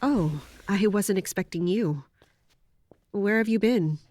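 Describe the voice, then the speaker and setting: An actress playing a weary, guarded female captain in an audio drama, speaking in a measured, restrained way. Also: mid-range voice, background noise, close to an everyday voice. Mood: weary, worried.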